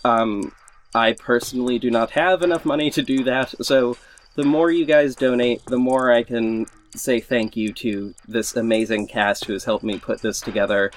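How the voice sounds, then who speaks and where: A man hosting a podcast gives a casual, unscripted update to his listeners in an enthusiastic, informal, somewhat rambling and halting way. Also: medium pitch, steady tone. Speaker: podcast host and creator. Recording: music under the voice. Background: music.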